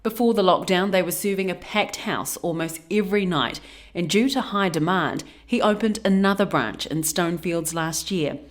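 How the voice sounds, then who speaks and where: A woman's voice: fairly low pitch, moderate pace. A woman with a New Zealand accent narrating a scripted news feature as a reporter.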